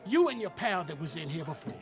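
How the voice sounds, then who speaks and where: Man acting a high-pitched wary saloon local. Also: very animated, quick pace. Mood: wary, dismissive. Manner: curt, dismissive. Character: wary, dismissive saloon local warning off a stranger. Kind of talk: cinematic video game acting. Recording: music behind the voice.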